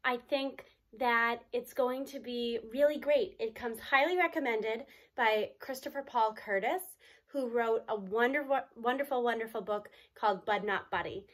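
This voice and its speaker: Woman doing a read aloud, kinda high voice, animated, stops for little asides. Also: moderate pace.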